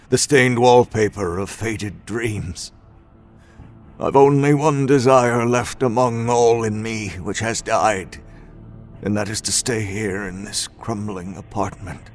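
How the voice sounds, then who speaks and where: A self-loathing recluse speaks in a deep, unhurried drawl. His brooding first-person audio drama narration sits over a quiet musical score. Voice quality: low, slow and brooding. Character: reclusive self-loathing man in decaying apartment.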